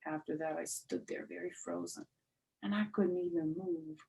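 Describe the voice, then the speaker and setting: Creaky, low-voiced woman reading a children's story. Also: steady tone, moderate pace, dark tone, low-quality recording. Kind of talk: expressive read-aloud of a children's book, with explanatory asides.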